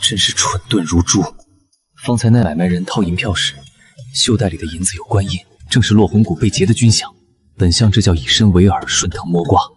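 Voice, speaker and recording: A man playing a cool, sharp-tongued chancellor who is secretly a rabbit spirit, in a period animated drama. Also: low voice, a little fast, husky. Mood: disdainful. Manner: aloof, sardonic.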